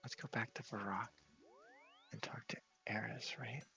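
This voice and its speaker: A man giving soft, whispery ASMR commentary over a game, casually thinking aloud in a low-key way. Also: steady tone, normal speed, dull audio, faint music behind. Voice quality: soft, breathy, often whispery. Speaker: gaming video host.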